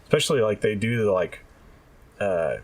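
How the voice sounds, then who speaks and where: A man co-hosting a casual video game podcast, talking in a halting, thinking-aloud way. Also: lowish voice, sing-song, crowd noise. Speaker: podcast co-host. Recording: slightly distant from the mic.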